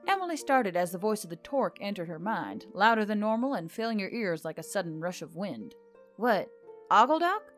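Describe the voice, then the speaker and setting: A woman's voice: medium pitch, expressive, brisk, hardly any pauses. A woman narrates a story in a mid-range voice. She reads from a script in audiobook style. Music plays behind her.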